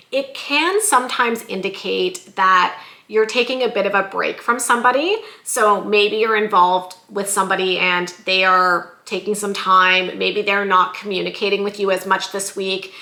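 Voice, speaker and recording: A woman giving a weekly tarot love reading in a chatty, lively way, her voice going a little hoarse. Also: mid-range voice, reverberant. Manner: chatty, lively, says "like" and "you guys" often. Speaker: tarot reader.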